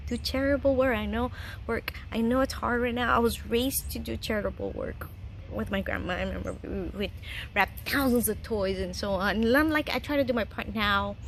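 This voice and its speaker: Chatty woman from Chile, higher voice. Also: brisk, vocal fry, slight background noise. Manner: earnest, chatty, laughs often. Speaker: vlogger. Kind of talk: casual advice vlog. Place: home garden.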